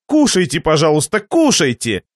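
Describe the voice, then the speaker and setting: Guy doing a stingy merchant host from a satirical story, high plaintive voice, swingy pitch, fake polite but seething. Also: wildly swooping pitch, moderate pace, no room sound, studio quality. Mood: strained, seething. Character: miserly, suffering merchant host, fawning yet seething. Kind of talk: dramatised reading of a satirical short story.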